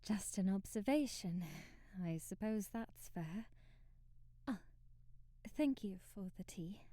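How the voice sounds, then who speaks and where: A woman's voice: mid-range voice, lively intonation, slow pace, dark tone, dry recording. A woman playing a timid, devoted young maid in an ASMR roleplay, speaking formally and deferentially with hesitant stammers and period-drama phrasing. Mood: shy, nervous.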